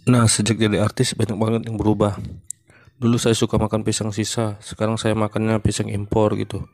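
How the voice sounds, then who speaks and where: A man voicing a male monkey stand-up comedian in a cartoon who is running for mayor, telling jokes in a deadpan, even way. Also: lowish voice, fairly quick, no echo, close to an everyday voice.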